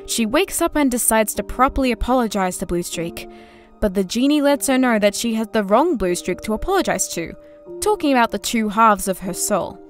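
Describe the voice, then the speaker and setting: Higher-voiced female story narrator. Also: expressive, a little fast. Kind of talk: scripted story recap narration. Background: background music.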